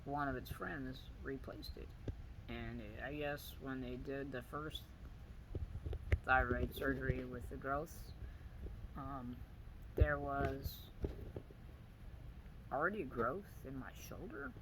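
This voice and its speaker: A man talks quietly to his viewers in a weak, soft voice, stopping and starting as he gropes for words. His pitch sits in an ordinary middle range, and a steady hum of background noise runs under the recording. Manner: halting, searching for words, many pauses and fillers. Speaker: vlogger. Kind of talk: personal video diary.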